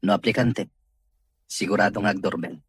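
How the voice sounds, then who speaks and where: Man doing grumpy blunt boss in an ilocano radio drama, very raspy, low voice, dry sound. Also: moderate pace. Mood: grumbling. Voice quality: husky, rough. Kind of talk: Filipino radio drama dialogue.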